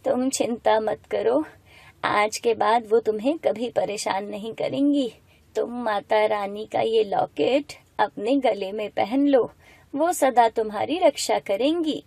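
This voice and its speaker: Kindly elderly cartoon woman, played by a female narrator: mellow, motherly, reassuring, in a dry recording. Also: fairly high pitch, slow pace, gravelly, no echo, exaggerated voice. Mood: gentle, reassuring. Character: kindly, hungry old woman blessing a girl. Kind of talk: animated moral story dialogue.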